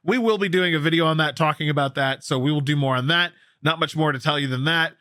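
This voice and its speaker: A man's voice: a little high, fast, bright, forceful tone, no room sound, clean studio sound. A man co-hosting a gaming news show, talking casually and emphatically, repeating himself for effect and swearing freely. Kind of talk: casual news commentary with co-host.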